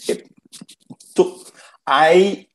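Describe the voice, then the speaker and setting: A man talks at an unhurried, measured pace. He leans on key words and often restarts a phrase before landing it, in a reflective, storytelling way. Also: sits high. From Liberia. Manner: slow, emphatic, repeats words when starting a thought. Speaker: guest.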